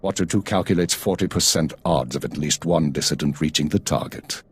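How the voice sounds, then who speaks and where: An actor playing a stern, controlled spymaster in a video game, formal and authoritative, with a husky voice. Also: male voice, a bass voice, fairly quick. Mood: brisk, authoritative. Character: stern, controlled male intelligence chief.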